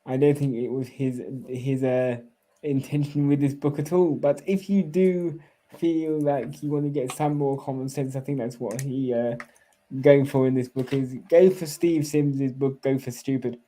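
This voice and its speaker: A man's voice: medium pitch, fairly even intonation, normal speed, dark tone, rough recording. A man hosting a casual podcast chat, hesitant and repeating words as he starts. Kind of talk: casual conversation.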